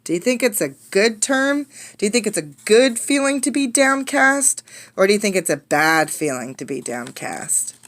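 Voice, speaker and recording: A woman teacher reading a storybook aloud to children, expressive and instructive, often putting questions to the listeners. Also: medium pitch, normal speed.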